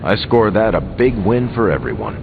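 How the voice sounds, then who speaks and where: Tough male fighter, casual and blunt, lowish pitch, muffled game audio over engine rumble. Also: very animated, moderate pace, gravelly. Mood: satisfied. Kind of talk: video game character dialogue. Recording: dull sound, rumbling vehicle noise.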